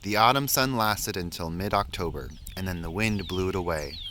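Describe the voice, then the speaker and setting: A man reading a children's picture book aloud in a measured, expressive storytelling way, pausing between phrases. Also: low-pitched, noisy background. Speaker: story reader.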